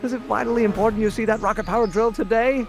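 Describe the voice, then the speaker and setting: An actor playing an excitable, earnest young American inventor in a video game, with a theatrical, formal and eager delivery. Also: male voice, sits high, quick pace, gravelly, over music. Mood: flustered.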